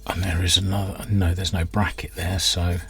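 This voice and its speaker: A man's voice: deep voice, little pitch movement, moderate pace, a bit bright, slightly rough. A man giving casual hands-on workbench commentary, hesitantly thinking aloud as he works. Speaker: host of a radio restoration channel.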